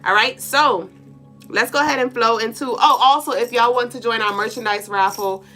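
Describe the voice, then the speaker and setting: A woman co-hosting a casual livestream, congested and nasal, lively and playful as she greets viewers by name. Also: a little high, normal speed, faint music behind. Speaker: livestream co-host.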